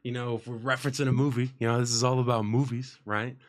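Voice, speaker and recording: A man's voice: medium pitch, little pitch movement, fast. Chatty young American man hosting. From United States. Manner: rambling, filler-heavy, upbeat. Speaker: podcast host. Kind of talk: casual chat. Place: radio station studio. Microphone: studio microphone with headphones.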